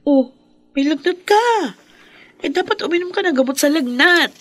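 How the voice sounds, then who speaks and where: A woman's voice: high-pitched, animated, moderate pace, faint music behind. An actress playing a concerned, blunt female friend in a Filipino radio drama. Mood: alarmed, knowing.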